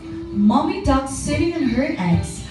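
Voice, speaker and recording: A woman's voice: sits high, lively intonation, slow pace. A woman narrating a scripted children's story as the storyteller of a puppet show. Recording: very echoey room, distant mic, music.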